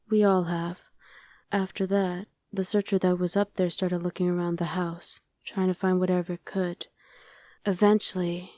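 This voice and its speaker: Woman acting a mellow, low-voiced young female survivor. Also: dry recording, clean studio sound, lightly acted. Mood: matter-of-fact, subdued. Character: friendly, streetwise young woman surviving a demon invasion. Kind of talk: ASMR roleplay, conversational acting addressed to the listener.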